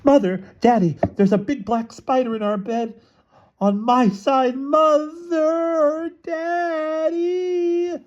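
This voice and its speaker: Man putting on a shrill, panicky little girl. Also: very animated, a little slow, few pauses, mellow, dull audio, full character voice. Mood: panicked. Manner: shrill, urgent, whining. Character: squealing, panicky little girl, gullible twin.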